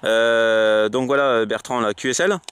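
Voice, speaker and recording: A man chatting casually as a radio operator relaying messages, halting and repeating himself as he goes. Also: medium pitch, fairly even intonation, very noisy. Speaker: amateur radio operator relaying between contacts. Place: outdoors.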